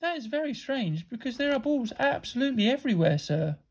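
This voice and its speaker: A woman's voice: fairly high pitch, sing-song, fairly quick, darkish tone, voice pushed up. A woman voicing a cheeky storybook schoolgirl, mock-polite and feigning innocence. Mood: sly.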